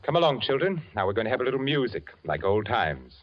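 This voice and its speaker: A man's voice: medium pitch, big pitch swings, fast, a little hiss or hum, close to an everyday voice. An actor playing an eccentric rich widowed father in a 1940s radio drama, genteel and nervously insistent. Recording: dull lo-fi 1940s radio broadcast.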